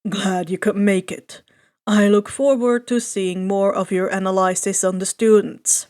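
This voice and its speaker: A woman voicing a tired, laid-back male hero teacher in an audio story, with a deep, slow, professional delivery. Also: level tone, a little mellow, lightly acted. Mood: weary, curious.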